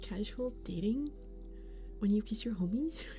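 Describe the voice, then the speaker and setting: A woman's voice: mid-range voice, expressive, a little slow, music in the background. A woman streamer chatting casually with her live chat, giggling often and sometimes dropping to a whisper. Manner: giggly, laughs often between remarks. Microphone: studio condenser mic.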